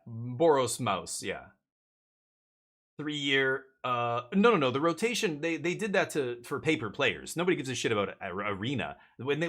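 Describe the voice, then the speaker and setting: A man's voice: medium pitch, a bit bright. A man from Canada chatting casually on a live stream while gaming, opinionated and blunt, swearing and stumbling over repeated words as he rants.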